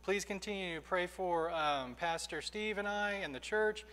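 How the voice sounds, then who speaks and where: A man in his thirties preaching a sermon from a church pulpit, earnest and emphatic, slowing down to stress key phrases. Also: high-pitched, a bit bright. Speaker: pastor. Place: church sanctuary, indoors. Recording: reverberant church hall.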